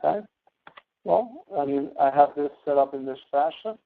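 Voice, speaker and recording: A man teaching step by step as an instructor in a screen-share tutorial, halting and checking with questions. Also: a little high, lively intonation. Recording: tinny phone-like online meeting audio.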